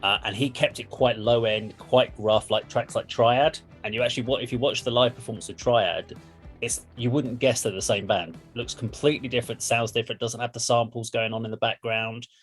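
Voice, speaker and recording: A man's voice: mid-range voice, steady tone, fairly quick. A man hosting a casual music discussion, explaining things in an informal, sweary way. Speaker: music reaction show host.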